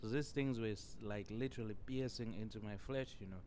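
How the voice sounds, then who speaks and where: A man's voice: lowish voice, a little fast, a little mellow, slight background noise. A man telling a childhood story on his own podcast, rambling and saying "you know" constantly. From Zimbabwe. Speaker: podcast host. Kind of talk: casual solo podcast storytelling.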